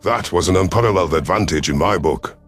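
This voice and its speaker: Deep-voiced male sci-fi story narrator. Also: fast, slightly rough. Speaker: narrator of science fiction audio stories. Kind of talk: dramatic first-person scripted narration. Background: background music.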